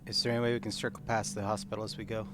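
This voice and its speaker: A man roleplays an earnest male marine in a live tabletop game. The voice is fairly low and natural, a little hesitant in delivery, with music clearly playing behind. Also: little pitch movement, racing, close to an everyday voice. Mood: earnest, pleading. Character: earnest male marine pleading for fallen comrades. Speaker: player.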